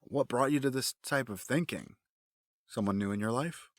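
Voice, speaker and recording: A man playing a smooth, scheming middle-aged gentleman with a fatherly front, speaking in measured, formal phrases in an audio drama. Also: mid-range voice, no echo, clean studio sound, lightly acted.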